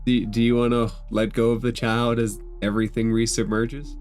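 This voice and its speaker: A man's voice: mid-range voice, level tone, normal speed, darkish tone, slight background noise. A man narrating a tabletop fantasy game as game master, improvising descriptions and rulings for the players. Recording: background instrumental music under voice.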